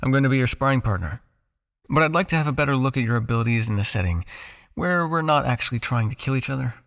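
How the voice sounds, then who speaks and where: A man acts out a possessive male vampire captor in an intimate hypnosis roleplay. His mid-pitched voice has a heavy, crackling fry. He speaks straight to the listener, coaxing and teasing as a captor sure of his hold. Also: fairly bright tone. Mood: confident, challenging. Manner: coaxing, teasing, with pauses, sighs and murmured asides.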